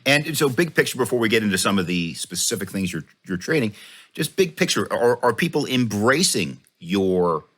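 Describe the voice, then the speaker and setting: A middle-aged man interviewing a guest, asking thoughtful, measured questions with frequent hesitant fillers. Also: on the low side, big pitch swings, a bit bright. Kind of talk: conversational interview.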